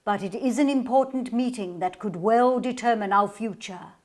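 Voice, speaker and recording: A woman's voice: fairly high pitch, normal speed, darkish tone, close to an everyday voice. A woman playing a protective, devoted older sister in a radio play, speaking formally and earnestly. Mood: fond, regretful. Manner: formal, earnest period speech.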